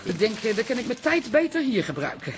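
A woman's voice: a little high, very animated, brisk. A woman playing a chatty, cheeky older aunt with a Dutch accent in a comic radio play, folksy and gossipy. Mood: evasive.

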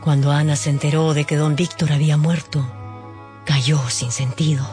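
A man's voice: fairly high pitch, level tone, normal speed, darkish tone, soft background music. A man narrating a scripted radio drama as a storyteller.

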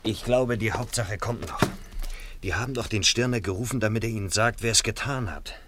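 A low-pitched man played by an actor, a skeptical small-town mechanic in a radio drama. He is dry and terse, on a slightly noisy vintage recording. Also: a little fast, close to an everyday voice. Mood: wary, explaining. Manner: terse, matter-of-fact. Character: dry, matter-of-fact village garage man, skeptical. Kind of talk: German radio play acting.

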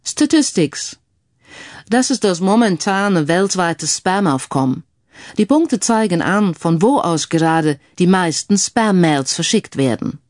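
A woman voicing a calm male IT security expert who explains things in a mildly schoolmasterly way, mixing English phrases into the talk. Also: lowish voice, unhurried, no echo, clean studio sound. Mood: matter-of-fact, relaxed. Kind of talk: audiobook character dialogue.

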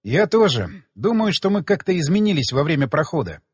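A man's voice: fairly high pitch, sing-song, a little fast, breathy edge, dry recording, clean studio sound. A man voicing a wry, devoted male adventurer in an audiobook, with a casual, joking manner. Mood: earnest.

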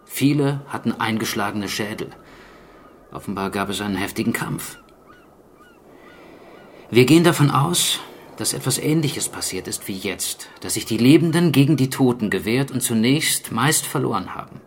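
A man playing a sober archaeologist in a radio drama, speaking in a measured, explanatory way. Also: medium pitch, lively intonation, slight background noise, natural voice. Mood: grave, explanatory. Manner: measured, matter-of-fact, explanatory. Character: sober male archaeologist explaining a zombie plague. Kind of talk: naturalistic German radio drama acting.

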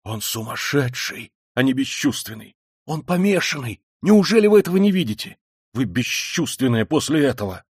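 A man's voice: medium pitch, very animated, moderate pace, slightly rough, no echo, pitched above the performer's own voice. A man plays a loyal, hot-headed young man from a storybook novel. His voice climbs above the narrator's, impassioned, bewildered and exclaiming, in a dry recording. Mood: heated.

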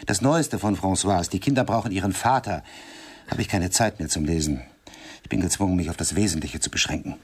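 A man's voice: deep voice, a little fast, breathy edge. An actor playing a cultured, self-satisfied middle-aged man in a German radio drama, speaking in a pompous, emphatic, condescending way. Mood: brisk, superior.

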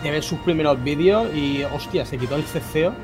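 A man's voice: on the high side, moderate pace. Blunt young man telling stories. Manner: blunt storytelling, swears freely. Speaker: podcast co-host. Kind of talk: casual chat. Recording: music playing underneath.

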